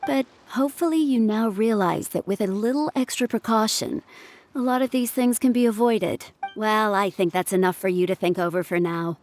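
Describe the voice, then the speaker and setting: An actress playing a composed, caring ship's surgeon in a video game, explaining things in a measured, polite, cautioning way. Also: female voice, medium pitch, darkish tone, a little hiss or hum, lightly acted. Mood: gentle, reassuring. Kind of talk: scripted video game dialogue.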